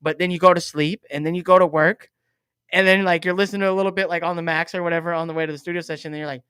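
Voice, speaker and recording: A man in his thirties with a high-pitched voice, chatting in an animated way with lots of fillers. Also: very fast, clear, resonant tone, dry recording, studio quality. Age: 30s. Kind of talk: casual chat.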